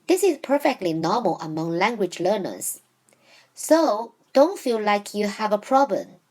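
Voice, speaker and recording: A woman whose first language is Chinese, teaching Mandarin in a scripted lesson, speaking in a measured, clearly enunciated way and slowing down for example sentences. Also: medium pitch, sing-song, darkish tone.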